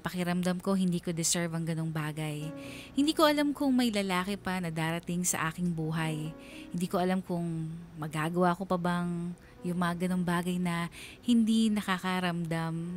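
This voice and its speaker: A young woman, played by an actress, tells her story in a low voice over music. She is confessional and reflective, a regretful radio drama narrator full of self-doubt. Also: close to an everyday voice. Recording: music bed under the voice. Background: background music.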